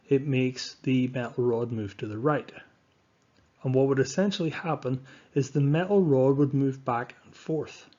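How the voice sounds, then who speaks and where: A man narrating a lesson as a science teacher, speaking in an instructive, deliberate way with emphatic stresses, on a dull recording. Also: mid-range voice, a little mellow. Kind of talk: scripted lesson narration over slides.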